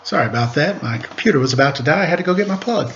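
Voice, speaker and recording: A man's voice: a little high, lively intonation, very fast, a bit bright. A man reading a children's picture book aloud at storytime, with casual asides to his listeners. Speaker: storytime reader, teacher. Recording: roomy, dull, faintly noisy home recording.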